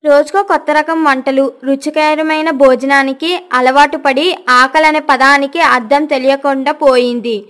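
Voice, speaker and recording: A woman's voice: high-pitched, a bit bright. A woman narrating a scripted moral story for children.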